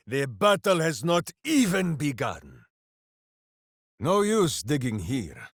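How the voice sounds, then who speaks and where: An actor voicing a hearty, simple-minded, boastful heroic berserker in a video game, with a bombastic, theatrical way of talking. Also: male voice, mid-range voice, no echo, clean studio sound, full character voice. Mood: hearty, earnest. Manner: bombastic, theatrical, speaks of himself in third person. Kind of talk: video game character voice lines.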